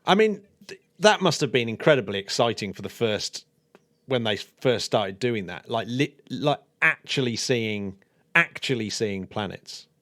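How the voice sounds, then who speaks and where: A man hosts a science chat, asking curious questions and thinking aloud as he works through his ideas. His voice sits at an average pitch. The sound is dry and close to the mic. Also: animated, measured pace, fairly bright tone, dry recording. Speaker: podcast host.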